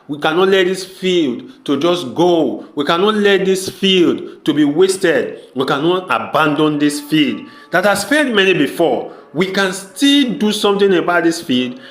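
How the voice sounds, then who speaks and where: Earnest troubled brother played by a man, high voice, emphatic and repetitive pleading, roomy echo. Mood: earnest, determined. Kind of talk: amateur audio drama acting.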